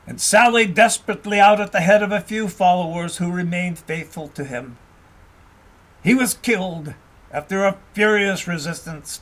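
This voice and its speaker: A man narrating a historical tale as an audiobook reader, in an expressive storytelling style. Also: high voice.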